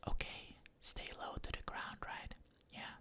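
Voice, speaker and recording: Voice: unhurried, pushed and bright. A person whispering the lines of an eager, boastful young male lion cub with a cheeky manner.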